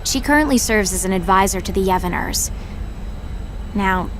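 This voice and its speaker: A woman's voice: mid-range voice, animated, normal speed, slight background noise, lightly acted, rough recording. A woman voicing a sarcastic seventeen-year-old envoy. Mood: narrating. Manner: sarcastic, dismissive. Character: sharp-tongued teenage girl council envoy. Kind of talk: game audio drama voice acting, with inner narration. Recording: voice over music.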